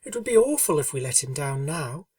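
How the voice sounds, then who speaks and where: A woman voicing a shy, gentle young female pegasus pony who speaks softly and soothingly. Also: sits low, big pitch swings, fairly quick, no echo, recorded from a distance. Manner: soothing, soft, quiet.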